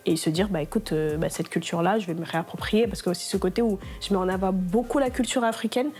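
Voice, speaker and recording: Animated Congolese-born woman, casual interview. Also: mid-range voice, quick pace, faint music behind. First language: not French. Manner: animated, talks with a rush of fillers and laughs often. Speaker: interview guest, entrepreneur and therapist.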